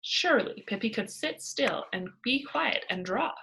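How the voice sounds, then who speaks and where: A woman narrating a children's storybook aloud in an expressive way. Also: a little high, a little slow, gravelly, husky, low-quality recording. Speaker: storybook reader.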